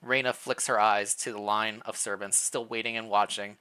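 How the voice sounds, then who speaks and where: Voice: medium pitch, fairly even intonation, normal speed, pushed and bright, smooth, low-quality recording. A streamer reading interactive fan fiction aloud, with playful asides between the narration. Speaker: streamer, reader.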